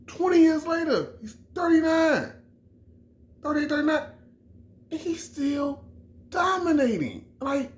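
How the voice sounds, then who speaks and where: A man's voice: extremely high pitch, big pitch swings, unhurried, long pauses. A middle-aged man from Chicago giving an emphatic, repetitive sports opinion in a casual vlog, often restarting his phrases. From Chicago, USA. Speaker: vlogger.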